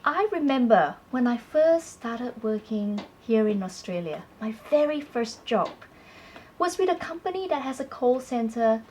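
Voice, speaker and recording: A woman co-hosting a talk segment, speaking clearly and prompting her partner with questions. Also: fairly high pitch, sing-song, measured pace, a little mellow, a little hiss or hum. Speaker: co-host. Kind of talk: scripted conversational presenting.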